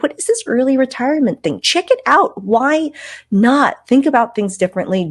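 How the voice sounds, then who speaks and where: A woman hosting a podcast, speaking casually and emphatically, with a conversational "like" often dropped in. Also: medium pitch. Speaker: podcast host.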